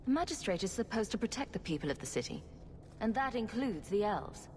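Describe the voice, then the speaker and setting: A woman's voice: medium pitch, very animated, a little fast, a little mellow, slight background noise, natural voice. An actress playing a tough, sardonic female mercenary hero in a video game, speaking in a dry, cool-headed, scornful way. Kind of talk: video game cutscene acting.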